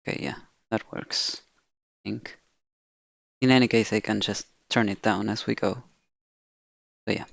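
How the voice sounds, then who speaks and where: A man's voice: on the low side, steady tone, measured pace, creaky, pauses often, a bit bright, no echo. A man streaming a game, chatting casually and half muttering to himself. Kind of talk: casual live-stream chat.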